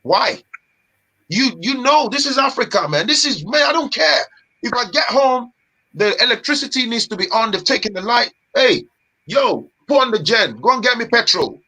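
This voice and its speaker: A man from Nigeria, a guest in a casual chat, talking in an animated, emphatic way with street slang. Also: very high voice, normal speed, breathy edge, low-quality recording.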